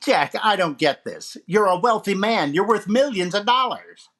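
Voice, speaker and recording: Radio variety host, played by a man, wisecracking and theatrical, very lively intonation. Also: high-pitched, normal speed. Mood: incredulous. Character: wisecracking male comedian hosting a radio variety show. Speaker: radio show host and comedian. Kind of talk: 1940s radio comedy recreation.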